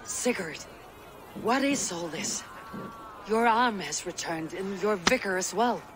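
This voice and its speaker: A performer playing an earnest young Viking warrior in a video game cutscene, halting, intense and full of wonder. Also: medium pitch, expressive, noisy background. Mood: amazed. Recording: loud music under dialogue.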